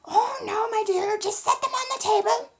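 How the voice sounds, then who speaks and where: A woman voicing a sly wolf who poses as a sweet old grandmother in a bedtime story. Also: moderate pace. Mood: feigned frailty. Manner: sugary, high, exaggerated.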